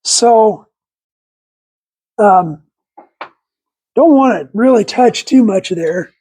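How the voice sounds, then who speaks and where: A raspy man in his forties with a head cold, rambling folksy and correcting himself while talking through engine work in a garage on a lapel mic. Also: high voice, animated, drawn-out words, warm tone. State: congested with a cold. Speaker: channel host. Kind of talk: casual workshop vlog. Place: home garage workshop, indoors.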